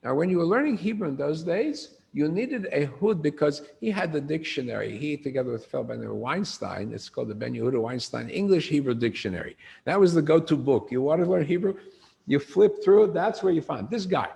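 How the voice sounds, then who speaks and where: An older man with a gravelly voice giving an informal lecture on history, asking his audience questions and answering them himself. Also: a little high, fairly quick. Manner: animated storyteller, asks the room questions.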